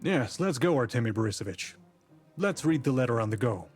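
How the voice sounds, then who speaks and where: A man acting a dry, authoritative detective in a visual novel set in 19th-century Russia, with a brisk, commanding manner. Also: medium pitch, animated, soft background music, lightly acted. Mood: businesslike.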